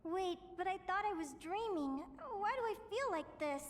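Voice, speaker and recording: A woman's voice: very high voice, expressive, moderate pace, slight background noise. An actress voicing a cheery young woman adventurer who dreams of owning a ship, bubbly and exclaiming with giggles. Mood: groggy. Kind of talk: video game voice acting.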